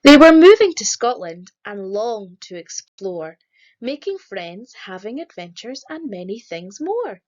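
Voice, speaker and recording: A woman reading a rhyming children's picture book aloud in a lively, sing-song storytelling manner. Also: on the high side, measured pace, highs cut off. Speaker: storybook reader.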